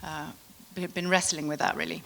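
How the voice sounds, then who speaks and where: A woman's voice: sits low, fairly even intonation, brisk, vocal fry, fairly bright tone. A British woman, a panel guest, introducing herself matter-of-factly with many hesitant ums. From England. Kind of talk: panel discussion.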